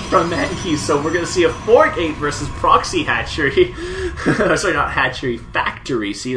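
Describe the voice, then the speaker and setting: A young man casting a video game match by himself, slightly hoarse after a long day, chatty with lots of fillers. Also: medium pitch, background noise. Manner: chatty, many fillers, laughs at plays. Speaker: esports caster. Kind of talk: solo play-by-play game commentary.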